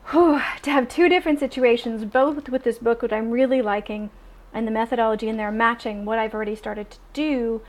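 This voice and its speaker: A young adult woman chatting casually in a vlog, animated and full of ums and restarts. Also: fairly high pitch. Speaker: vlogger.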